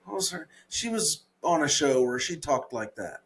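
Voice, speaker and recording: A man's voice: on the high side, lively intonation, normal speed, fairly bright tone. A man with a Southern accent co-hosting a podcast, chatting casually, laughing often and trailing off while he searches for words. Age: adult. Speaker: podcast co-host. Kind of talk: casual chat.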